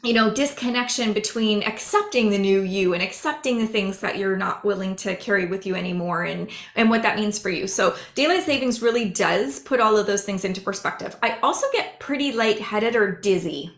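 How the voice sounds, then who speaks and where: Hoarse raspy woman in an echoey room. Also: mid-range voice. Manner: rambling, earnest, drawn-out explaining. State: hoarse, losing her voice. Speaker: psychic medium. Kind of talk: casual podcast chat.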